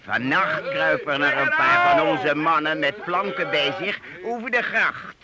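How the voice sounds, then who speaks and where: Fussy, high-voiced medieval master cooper. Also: male voice, sing-song, a little slow, a loud crowd. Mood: practical, shrewd. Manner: penny-pinching, fussy. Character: thrifty, grumbling medieval master cooper leading townsmen in a siege. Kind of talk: Dutch radio play acting. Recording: very noisy old radio recording.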